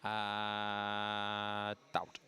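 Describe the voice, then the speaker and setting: A man doing color commentary on a competitive shooter match, analytical and measured, sometimes self-deprecating. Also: lowish voice, monotone, sharp tone. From Denmark. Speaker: esports analyst caster.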